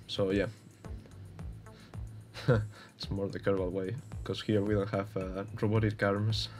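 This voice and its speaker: A man's voice: lowish voice, flat delivery, slow pace, a little mellow, faint music behind. A young man from Spain with a Spanish accent, giving casual, hesitant commentary over gameplay with many fillers and restarts. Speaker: gaming YouTuber.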